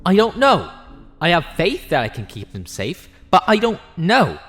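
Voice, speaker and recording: A man's voice: high voice, wildly swooping pitch, unhurried, a bit bright, voice pushed up, full character voice. A man playing an anxious, defensive time-travelling alien in an audio drama, speaking in an agitated, insistent way.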